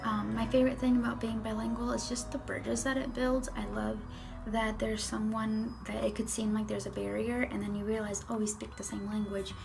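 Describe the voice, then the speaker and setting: Young woman from chile, chatty, some vocal fry, music in the back. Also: on the high side, flat delivery, moderate pace. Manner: chatty, with likes and ums. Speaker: interviewee. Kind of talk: casual recorded interview. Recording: music playing underneath.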